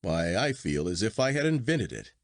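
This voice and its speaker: A man voicing an earnest, formal gentleman in storybook dialogue, emphatic and exclamatory. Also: fairly low pitch, very animated, normal speed, dry recording, studio quality. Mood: exasperated, insistent. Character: earnest gentleman narrator, contrite then exasperated sceptic.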